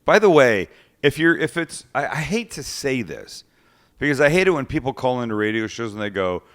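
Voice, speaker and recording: A man's voice: mid-range voice, sing-song, moderate pace, a bit bright. A man hosting a live cooking show, chatting casually, joking and swearing now and then.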